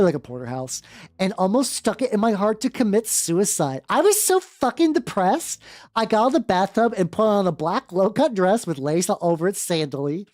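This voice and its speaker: A woman's voice: mid-range voice, a little mellow, no echo. A woman streamer reading fan fiction dramatically, breaking in with wry joking asides. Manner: theatrical, self-interrupting, wry commentary. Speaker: streamer, host. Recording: dry close sound with music behind. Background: background music.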